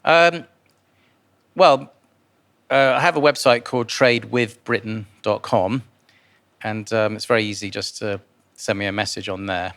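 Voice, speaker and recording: A man born in Guyana answers interview questions informally, in a halting way with repeated words. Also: fairly low pitch, steady tone, fairly bright tone. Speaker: interviewee, philanthropist and investor.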